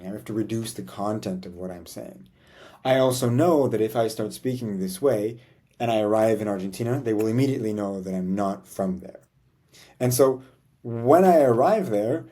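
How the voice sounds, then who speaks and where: A man's voice: medium pitch, mellow. A man vlogging about learning a language, talking in an earnest, reflective way and often ending thoughts with "right?". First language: English. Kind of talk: casual talking-head vlog.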